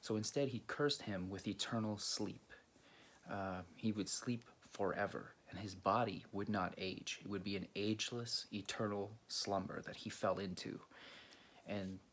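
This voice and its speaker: Low, gravelly man telling stories casually. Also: fairly bright tone, a little hiss or hum. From Greece. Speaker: video host. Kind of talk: casual storytelling to camera or viewers.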